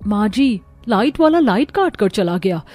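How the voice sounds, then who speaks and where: Cartoon housewife, played by a woman: rich, spoiled, demanding, mellow timbre. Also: mid-range voice, sing-song, moderate pace, soft background music. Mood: whining. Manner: complaining, demanding. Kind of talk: cartoon moral-story dialogue.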